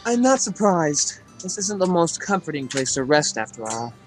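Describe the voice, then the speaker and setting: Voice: medium pitch, big pitch swings, moderate pace, faint music behind, lightly acted, poor audio. An amateur voice actor playing a devoted, protective knight in a fantasy game, earnest and caring. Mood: gently concerned.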